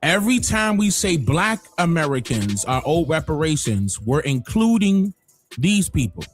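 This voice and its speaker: A man hosting a livestream, giving emphatic opinionated commentary that swings from loud exclamations to low muttering. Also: sing-song, measured pace, faint music behind. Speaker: livestream host.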